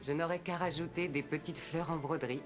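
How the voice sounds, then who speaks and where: Actress as low-voiced, wry hunter's wife. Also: female voice, steady tone, a little fast, over music, natural voice. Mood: wry, gentle. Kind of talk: French animated series dubbing.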